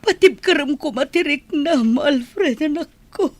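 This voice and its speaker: Distraught high-voiced mother. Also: measured pace, dry recording. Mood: anxious, pleading. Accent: Ilocano. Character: anguished mother breaking terrible news to her son. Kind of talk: Filipino radio drama acting.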